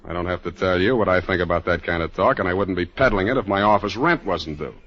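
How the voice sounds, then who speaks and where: An American actor playing a wry, tough, flippant private detective in 1940s radio style, with clipped, sardonic delivery. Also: male voice, sits low, fast. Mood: businesslike. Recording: dull old radio recording with music.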